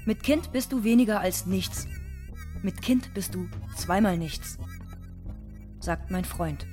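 A woman's voice: medium pitch, slow pace, darkish tone, faint music behind, natural voice. An actress playing a bitter, frightened young migrant cleaning woman in a radio drama monologue, in terse, insistent, repeating sentences.

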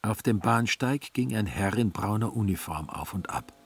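A man's voice: fairly low pitch, animated, normal speed, slightly rough, no room sound. A man delivering scripted literary narration as a narrator in a radio drama. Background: faint music.

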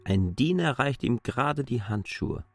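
A man's voice: mid-range voice, expressive, a little slow, gravelly. A man reading scripted literary narration in a radio drama. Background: music.

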